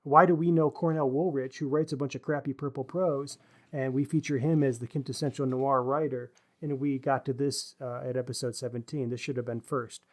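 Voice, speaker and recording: A man's voice: mid-range voice, steady tone, fairly quick. Male podcast co-host for informal book talk; thoughtful, literary, feeling his way through each point. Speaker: podcast co-host discussing books. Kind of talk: casual literary discussion.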